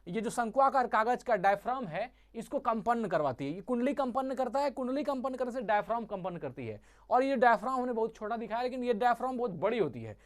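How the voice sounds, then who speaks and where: A woman teacher explains how a device works step by step. She keeps asking the listener short questions and answers them herself, repeating each term to drive it home. Her voice sits at an ordinary middle pitch. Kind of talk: classroom physics lesson.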